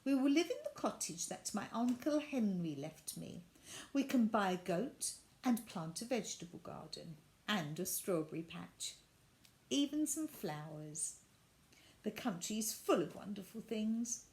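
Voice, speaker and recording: A woman's voice: medium pitch, very animated, unhurried, a little mellow. A woman voicing a practical, determined nanny in a children's storybook, sounding reassuring and decisive. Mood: determined, cheerful.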